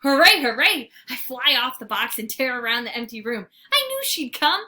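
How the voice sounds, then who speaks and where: A woman's voice: high voice, very animated, moderate pace, sharp tone, exaggerated voice. A woman voicing an eager, needy young dog from a children's storybook, dramatic, exclamatory and pleading. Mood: excited.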